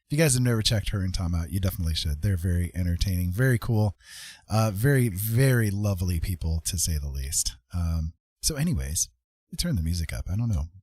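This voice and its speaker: A man hosting a casual livestream, chatting to viewers in a rambling, playful, husky voice. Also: low-pitched, expressive, a little fast, darkish tone, no echo, studio quality. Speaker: livestream host. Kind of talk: casual chat to live viewers.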